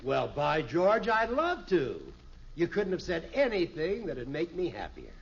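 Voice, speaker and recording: Hearty American family-sitcom businessman, slow emphatic drawn-out phrasing, dull vintage radio sound with audience noise. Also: a little high, wildly swooping pitch, full character voice. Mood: delighted. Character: jovial, hearty middle-aged married traveling businessman, a dinner guest. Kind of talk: 1950s radio sitcom acting.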